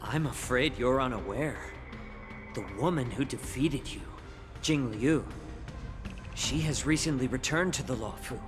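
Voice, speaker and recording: A composed young captive acted by a man in a dramatic game scene. His medium-pitched voice is measured and calculating, quietly determined, with clear music behind it. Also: lightly acted. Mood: calm bargaining. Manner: measured, polite, controlled. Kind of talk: dramatic video game acting.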